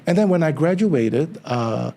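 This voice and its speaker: A man, a feng shui consultant telling his story as an interview guest, animated and acting out what others said. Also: fairly high pitch, unhurried, creaky. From Singapore. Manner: animated storyteller, acts out quoted remarks with a lifted voice.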